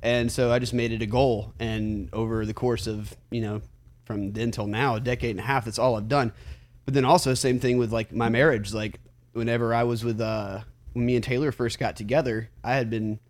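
A man's voice: mid-range voice, steady tone, brisk. A man in his late twenties, a musician, talking in an earnest, reflective way with lots of fillers in a casual podcast chat. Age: 20s.

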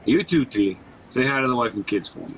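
An actor playing a large, genial older coffee cart vendor in an audio drama, jovial and familiar. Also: male voice, mid-range voice, lively intonation, a little fast, a bit bright, slight background noise, rough recording. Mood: teasing, friendly.

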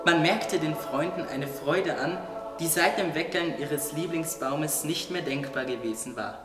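A man's voice: a little high, measured pace, over music. A man reading scripted story narration for a German radio play. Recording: distant, echoey hall.

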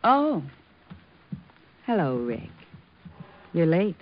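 A teasing, jealous American sweetheart in an old radio drama. Her delivery is coy and arch, with very animated pitch. The recording is dull and lo-fi. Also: drawn-out words, background noise, exaggerated voice. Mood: sultry, playful.

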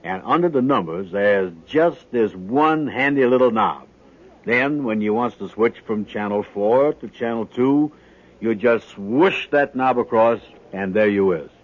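An actor playing a pompous, boastful, slow-witted man in a 1950s radio sitcom, speaking grandiose folksy dialect. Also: on the low side. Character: pompous, slow-witted, boastful adult male would-be inventor. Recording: dull, band-limited old radio broadcast.

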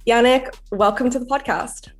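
A woman's voice: a little high, normal speed, faint music behind. A woman interviewing a guest as podcast host in a conversational style.

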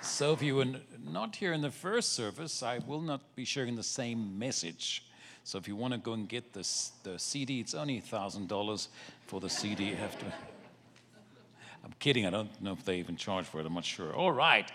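Lively South African preacher reading scripture. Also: male voice, lowish voice, moderate pace, a crowd in the background, noisy background. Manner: playful, dramatic Bible reading. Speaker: guest preacher. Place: church sanctuary. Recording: church hall reverb.

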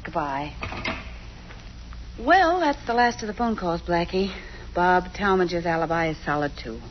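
An actress playing a sleuth's brisk, loyal female sidekick in 1940s radio style, on a muffled old recording. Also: on the low side, lively intonation, slight background noise. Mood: brisk, puzzled.

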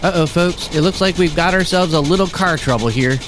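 A man's voice: fairly high pitch, fairly quick, noisy background, close to an everyday voice. A man acting a cheerful, sincere family dad in an audio drama. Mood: upbeat.

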